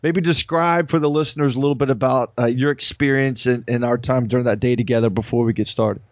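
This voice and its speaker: A man's voice: medium pitch, steady tone, quick pace, no echo, dull audio. A man hosting a podcast in an upbeat, casual tone. His mid-range voice sounds close and dry, with no room around it. He chats easily with his guest. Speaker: podcast host and coach.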